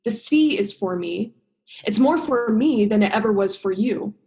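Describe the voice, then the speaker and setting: A woman's voice: mid-range voice, lively intonation, moderate pace, a little mellow, lightly acted. A young woman acting an earnest, principled diver in a student radio play. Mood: firm, resolute. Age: young adult.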